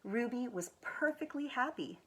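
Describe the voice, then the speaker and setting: An American woman with a husky voice reads a children's picture book aloud expressively, stretching words for emphasis. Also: on the high side, a little hiss or hum, poor audio. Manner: expressive, with drawn-out emphasis and quiet asides to show pictures. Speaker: executive director of a schools foundation, guest reader.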